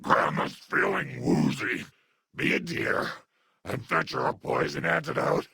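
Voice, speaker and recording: This is a video game's monstrous old grandmother, acted out by a man, doting and unhinged. The voice is extremely husky and breathy, full of gravel, and it swings wildly up and down in pitch. Sweet cooing pet names sit right beside scolding threats. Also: wildly swooping pitch, a little slow, pushed and bright, no room sound, clean studio sound, full character voice. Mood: weary, unwell. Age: older. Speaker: video game companion character. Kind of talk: video game character acting.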